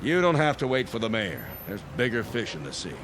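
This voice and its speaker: A man's voice: mid-range voice, very animated, fairly quick, background noise, close to an everyday voice. A man playing a folksy, wily local politician in an audio drama, blustering and full of old-fashioned slang. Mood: animated, scheming. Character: folksy, wily local politician haggling over a used car.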